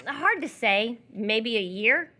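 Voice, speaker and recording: A woman playing a coy, lonely young captive in live radio theatre, playful and evasive, with hesitant pauses. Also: on the high side, expressive, bright, forceful tone, full character voice. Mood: friendly, wistful.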